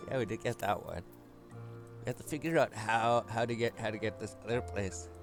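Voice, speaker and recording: A man with a fairly low voice talks casually through a video game walkthrough. He rambles and thinks aloud, with game music clearly playing behind him. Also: a little fast. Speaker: gaming video host.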